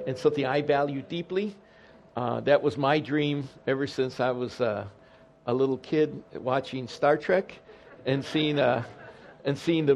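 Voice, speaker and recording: A man's voice: medium pitch, a little mellow, muffled recording, slight background noise. A man in his sixties, a retired pastor whose first language is Spanish, speaking to a congregation in a chatty, self-deprecating way. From Detroit, USA. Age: 60s. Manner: conversational and self-deprecating, frequent ums and restarts. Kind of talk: informal talk to a congregation.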